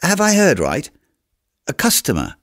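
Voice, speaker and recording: A man's voice: on the high side, huge pitch swings, measured pace, fairly bright tone, no echo, clean studio sound, voice pushed up, heavily acted. A man voicing a stubby-legged coal merchant who is eager and fussy about business, in a storybook reading.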